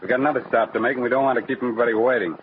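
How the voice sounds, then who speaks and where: An actor playing a brazen, smugly menacing gang boss giving orders in a 1940s radio crime drama. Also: male voice, mid-range voice, very fast, close to an everyday voice. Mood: cool menace. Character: brazen male gang boss, cool and menacing bank robber. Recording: muffled, noisy old radio recording.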